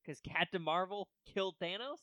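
A man's voice: sits high, moderate pace, bright, forceful tone, dry recording. A man giving casual, opinionated movie commentary as a co-host in an informal chat. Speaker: co-host of a film watch-along commentary.

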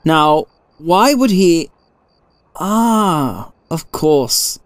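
A man voicing a sharp, thoughtful detective in a storybook, with a formal, incisive way of speaking. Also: a little high, animated, extremely slow, no room sound, voice pushed up. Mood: musing.

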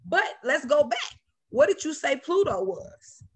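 A woman from Chicago, with the accent to match, talks with a gritty, raspy edge. Her voice sits a bit high, and she speaks with firm authority, laughing often in a loose, friendly chat. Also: very animated, a little slow. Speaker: podcast co-host. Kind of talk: casual spiritual chat.

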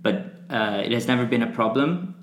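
A man's voice: medium pitch, normal speed, roomy sound, a little hiss or hum. A young man from Brazil whose first language is Portuguese, chatting casually as a podcast guest, with lots of fillers and restarts. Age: young adult. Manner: rambling, many fillers and restarts. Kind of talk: casual conversational interview.

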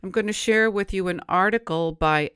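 A woman hosting a live-streamed devotional show, speaking in a gentle, unhurried way as she teaches and reads aloud. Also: medium pitch, clear, resonant tone. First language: English. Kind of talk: slow, deliberate teaching and reading aloud.

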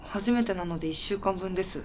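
A woman's voice: mid-range voice, a little fast, darkish tone, a little hiss or hum, natural voice. An actress playing a polite, puzzled and tired woman with a dry, blunt streak in a radio drama. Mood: puzzled, timid. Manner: polite, dry, matter-of-fact. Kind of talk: Japanese radio drama dialogue.

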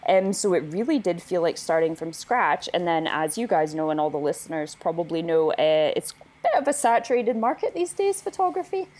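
A woman from Canada whose accent now carries a Scottish tinge talks as a podcast guest in a relaxed, chatty way. Her voice sits a bit low, and she laughs easily between thoughts. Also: brisk. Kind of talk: casual interview chat.

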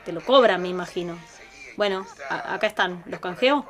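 Una actriz con acento rioplatense interpreta a una madre y esposa de mediana edad, apurada y dada al reproche, con actuación naturalista de ficción sonora. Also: female voice, fairly low pitch, moderate pace, music in the background, close to an everyday voice. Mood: casual, evasive. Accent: Rioplatense Argentine. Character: harried, reproachful mother and wife of a busy household. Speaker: actress in an audio fiction. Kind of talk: naturalistic audio drama acting.

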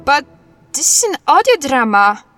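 A woman's voice: sits high, big pitch swings, normal speed, a bit bright, soft background music. A woman playing a quirky, scolding superheroine in a comedy audio drama, playful and singsong. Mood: puzzled, blunt.

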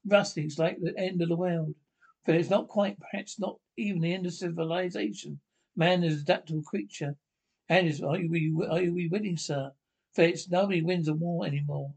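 High-pitched man haltingly reading a script. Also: a little mellow. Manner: halting, stumbling over words and repeating them; reads speaker names aloud along with the lines. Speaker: amateur script reader. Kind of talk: reading a radio drama script aloud.

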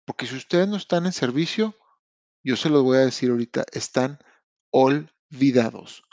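A man's voice: mid-range voice, normal speed, darkish tone, no room sound. A man from Mexico with a Mexican accent, hosting a podcast and giving frank, slangy advice straight to his listeners, with frequent restarts. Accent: Mexican Spanish. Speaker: podcast host. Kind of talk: casual motivational podcast monologue. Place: lives in Canada.

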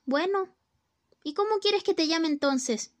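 A woman's voice: very high voice, unhurried. A woman in a student radio play acting a distracted, teasing housewife, with an exaggerated, sing-song delivery. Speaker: radio drama actor.

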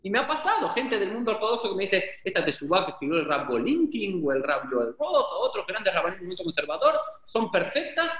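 A man's voice: sits high, big pitch swings, fast talker, hardly any pauses, a bit bright, reverberant, poor audio. A man, a rabbi hosting an interview, talking emphatically and listing his points. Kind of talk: interview conversation.

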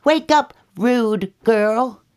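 A woman's voice: a little high, drawn-out words, darkish tone, clean tone, pitched above the performer's own voice. A woman voicing an earnest little girl bear cub in a children's story, teaching in an emphatic sing-song way. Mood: bossy.

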